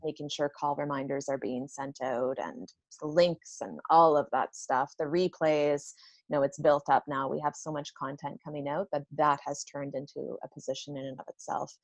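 A woman with a notably low-pitched voice speaks as an interview guest. She explains in an informal, conversational way, working things out aloud, in a very dry recording. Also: level tone, normal speed, dry recording. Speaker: business owner being interviewed.

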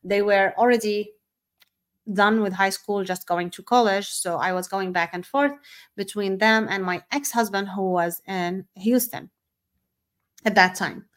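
A woman's voice: mid-range voice, unhurried. A middle-aged woman from Syria with a Syrian Arabic accent, a native Arabic speaker, hosting a podcast in an informal, unscripted personal monologue. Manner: informal, off-the-cuff. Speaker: podcast host.

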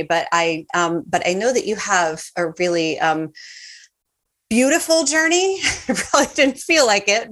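A woman's voice: medium pitch, a bit bright. A woman hosting an interview podcast, enthusiastic and full of praise, often restarting her sentences. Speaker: podcast host. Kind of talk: warm interview chat.

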